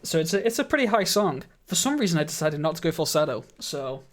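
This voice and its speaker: A man speaking into a studio microphone as he streams a game, his voice sitting a touch higher than most men's. He chats casually with viewers, thanks them warmly and laughs easily, and his voice sounds slightly croaky. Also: sing-song, fast. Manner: chatty, laughs often, greets and thanks viewers.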